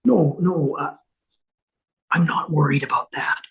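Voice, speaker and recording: A man playing a mild, grateful father in a comedy table read, gentle and halting. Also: on the high side, vocal fry. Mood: grateful, sincere.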